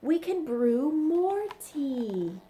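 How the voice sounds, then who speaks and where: A woman voicing a small, helpful mouse with a squeaky, high voice in a storybook reading. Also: very animated, extremely slow, mellow, exaggerated voice, rough recording. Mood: soothing.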